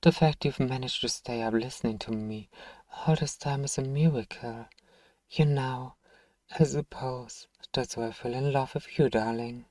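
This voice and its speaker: A man playing a soft, distant, ancient vampire. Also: medium pitch, steady tone, moderate pace, no room sound, natural voice. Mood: fond, tender. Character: thousand-year-old male vampire, nostalgic, tender, sorrowful. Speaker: ASMR role-play performer. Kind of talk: scripted ASMR storytelling role-play.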